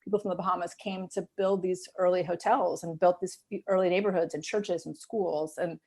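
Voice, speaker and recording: Low, creaky-voiced American woman in her fifties. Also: steady tone, brisk, a little mellow. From Miami, United States. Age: 50s. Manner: talkative, explanatory, informal with frequent "like" and "you know". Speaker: interview guest, author. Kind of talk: casual interview conversation.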